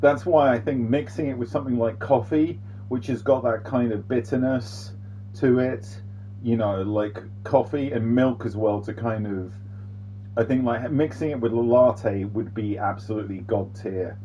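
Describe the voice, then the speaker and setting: A man's voice: medium pitch, moderate pace, mellow, a little hiss or hum. A man chatting and rambling casually while live-streaming a video game, with the odd swear word. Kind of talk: casual live-stream chat over gameplay.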